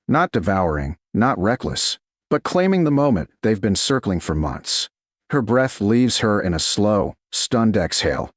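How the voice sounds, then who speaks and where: A man narrating a scripted romance audiobook in short, deliberate, dramatic phrases. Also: fairly low pitch, slightly rough, no room sound, clean studio sound.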